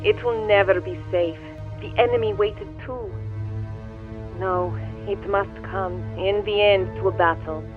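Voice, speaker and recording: A woman's voice: medium pitch, fairly even intonation, measured pace, soft background music. An actress playing a proud, doomed young alien woman, grave and formal, heard through a radio-like filter. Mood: resigned, sorrowful. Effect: radio-like filter over a viewing screen. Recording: thin, phone-like radio-filtered sound.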